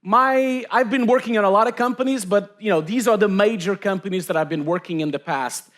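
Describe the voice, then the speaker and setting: Man from portugal, middle-aged, high voice, self-mocking stage talk, lots of fillers. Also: a little fast, a bit bright. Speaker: conference presenter. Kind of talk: joking live presentation.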